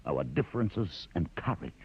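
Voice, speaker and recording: An actor in a vintage radio drama playing a learned, commanding rebel leader with a measured, grandiose, theatrical delivery. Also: male voice, low voice, sing-song, creaky, darkish tone, slightly rough, heavily acted. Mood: grave. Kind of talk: 1950s radio drama acting. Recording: dull, muffled vintage radio recording.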